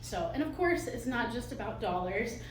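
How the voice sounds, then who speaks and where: A soft-spoken woman, a museum director, giving a live fundraising pitch with nervous little laughs and many ums. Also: expressive, moderate pace, slight background noise. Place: meeting hall with audience. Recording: echoey hall from far off.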